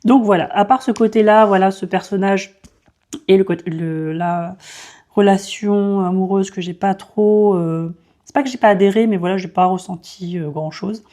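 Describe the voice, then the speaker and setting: A woman's voice: medium pitch, moderate pace, mellow. A woman in her thirties giving a casual, hesitant book review full of fillers and restarts. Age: 30s. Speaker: book vlogger.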